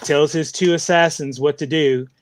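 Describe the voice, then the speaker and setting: A man's voice: fairly high pitch, fairly even intonation, normal speed, no echo. Man, casual co-host, hesitant, searching for words, dry recording. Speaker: podcast co-host. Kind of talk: casual chat.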